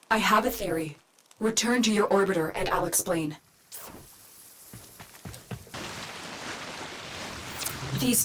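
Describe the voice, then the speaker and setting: A woman's voice: medium pitch, fairly bright tone, slight background noise, lightly acted, low-quality recording. A woman acting a composed, serious mission guide in a video game, giving controlled instructions. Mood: thoughtful. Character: composed female mission guide advising a soldier.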